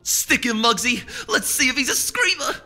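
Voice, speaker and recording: Casting: little storybook henchman pony, very high, shrill, gleefully vicious, in hall reverb. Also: big pitch swings, normal speed, bright, forceful tone, exaggerated voice. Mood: cruel glee. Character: small giggling pony thug, gleefully cruel sidekick. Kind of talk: audiobook character voice. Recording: echoey hall reverb, music behind.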